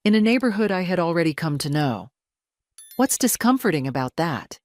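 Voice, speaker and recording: An older mother played by a woman narrator speaks in a low, measured voice. She is composed and quietly defiant, in a dry audio-story recording. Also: very animated, dry recording. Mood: calm, firm.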